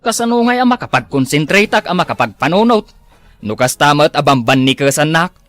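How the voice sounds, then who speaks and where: A man's voice: on the high side, big pitch swings, brisk, a bit bright, no echo. A man acting a henpecked, whining village husband in an Ilocano comedy drama, with an Ilocano accent.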